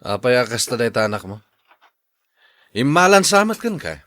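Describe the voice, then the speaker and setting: A man with a Filipino Ilocano accent playing a domineering, angry middle-aged father in a radio serial, gruff and scolding. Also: medium pitch, sing-song, moderate pace, a bit bright, dry recording. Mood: gruff, displeased. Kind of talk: radio serial drama acting.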